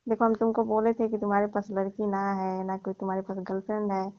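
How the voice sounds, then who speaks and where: A woman's voice: mid-range voice, completely flat delivery, fast talker, no room sound. A middle-aged woman chatting casually on the phone in a coy, teasing, playfully scolding way. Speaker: participant in a comic phone call. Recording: dry, dull lo-fi phone-call audio.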